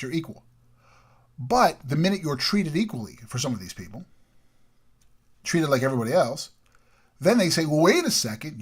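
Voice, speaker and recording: A man delivering an emphatic, opinionated political monologue, from the United States, repeating points and swinging between loud and quiet. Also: a little high. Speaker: political commentary host.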